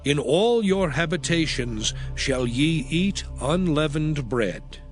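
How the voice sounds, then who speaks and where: A man playing the God of Israel as a solemn, commanding lawgiver in a dramatized scripture reading, with measured, declarative delivery. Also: fairly high pitch, expressive. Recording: background music throughout.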